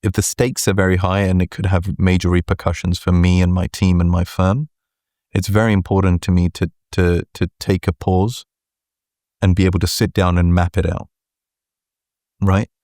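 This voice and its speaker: Deep-voiced guy, pretty monotone, calm measured interview answers. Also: no room sound, studio quality. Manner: measured, deliberate, frequent "right?" and "look". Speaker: venture capital partner, guest.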